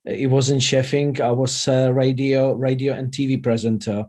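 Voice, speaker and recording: A middle-aged man with a Czech accent, chatting casually as an interview guest in halting, non-native English. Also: medium pitch, flat delivery, a little mellow. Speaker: interview guest, vegan chef and former radio presenter.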